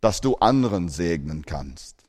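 A man's voice: sits low, very animated, unhurried, darkish tone, in a large hall. A man born in Australia preaching a sermon in German, earnest, with grammar slips.

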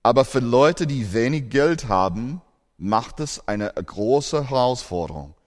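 A man's voice: mid-range voice, animated, unhurried, long reverb tail. A man born in Australia preaching a sermon in German, earnest, with grammar slips.

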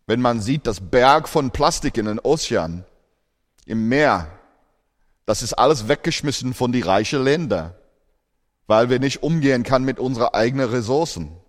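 A man's voice: mid-range voice, in a large hall. A man born in Australia preaching a sermon in German, earnest, with grammar slips.

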